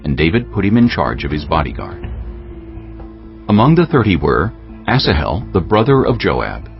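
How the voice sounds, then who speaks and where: A man narrating dramatized scripture as a solemn, measured storyteller. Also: low-pitched, huge pitch swings, creaky, dull audio, soft background music.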